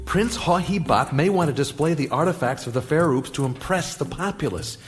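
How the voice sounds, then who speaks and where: A woman's voice: sits low, animated, fairly quick, faint music behind. A woman playing an adventurer's conscientious companion in a radio play, speaking with thoughtful concern.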